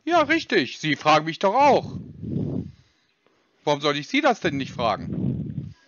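A man with a notably high voice talks on a phone call. He is insistent and pedantic, often complaining, in a dry, slightly lo-fi recording. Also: wildly swooping pitch, measured pace, a bit bright, no room sound. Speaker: scambaiter on a prank call. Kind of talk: phone conversation. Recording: dry, slightly dull lo-fi sound.

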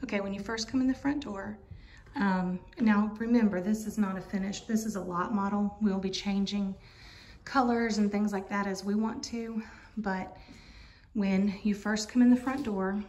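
A woman's voice: mid-range voice, fairly even intonation, moderate pace, a little mellow. A woman giving a chatty, rambling walkthrough of an empty model home, filming on a handheld phone. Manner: chatty, rambling, many fillers. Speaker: vlogger touring a mobile home. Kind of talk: casual home tour walkthrough. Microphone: handheld phone camera.

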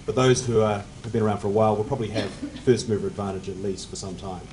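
Mellow-voiced man on a finance panel. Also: on the low side, steady tone, slight background noise, rough recording. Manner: measured, technical, trailing off at phrase ends. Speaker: panelist, fund manager. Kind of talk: panel discussion answer. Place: conference room on a panel table. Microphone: table mic on stand.

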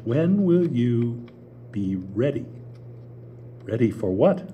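A man's voice: medium pitch, sing-song, slow, muffled recording, a little hiss or hum, pitched below the performer's own voice. Older man playing a sly, warning sister. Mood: ominous, insinuating. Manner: ominous, insinuating, warning. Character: scheming, envious sister, female. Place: small theater stage with audience. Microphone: table mic.

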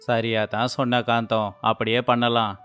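A man acting an earnest, indecisive village farmer husband in a moral story cartoon, speaking plainly and deliberately. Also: mid-range voice, completely flat delivery, fairly bright tone, clear, resonant tone, faint music behind, lightly acted. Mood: agreeing. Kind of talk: acted dialogue in a Tamil moral story cartoon.

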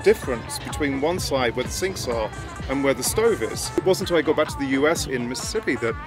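A man in his forties, a boat owner, calmly recounting what he found in a casual interview outdoors. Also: mid-range voice, fast, music in the background.

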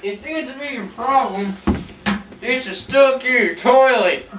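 A man's voice: very high-pitched, a little slow, voice pushed up. A young man playing a rude, careless plumber who swears casually, taped from a distance in a house hallway. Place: small house hallway, indoors. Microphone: far camcorder mic. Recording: echoey hallway, far camcorder, noisy.

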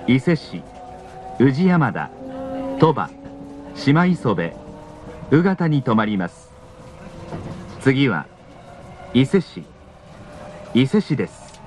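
A man reading a scripted train announcement in a formal, polite manner. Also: medium pitch. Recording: in-car announcement with clear vehicle noise. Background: train running noise.

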